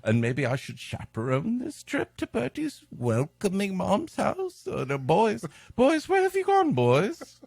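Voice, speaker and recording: A man's voice: sits high, huge pitch swings, unhurried, vocal fry, a little mellow, no echo, voice pushed up, full character voice. A man voicing a needy, lonely male biology teacher who pleads in a comic storybook parody. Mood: needy pleading.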